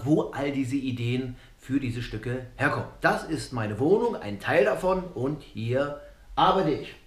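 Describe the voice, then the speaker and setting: Middle-aged comedian in an echoey room, higher-pitched. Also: male voice, slight background noise. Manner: jokey, conversational. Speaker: comedian and cabaret artist. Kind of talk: comedy monologue to camera. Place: small rooms of a flat, indoors.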